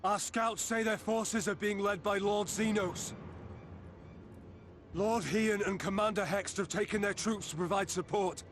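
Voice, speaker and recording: An actor playing an anxious, dutiful soldier giving urgent reports in a fantasy war game. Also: male voice, high-pitched, fairly even intonation, measured pace, slight background noise. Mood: alarmed, urgent. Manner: urgent, formal military reporting. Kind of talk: dramatic video game voice acting.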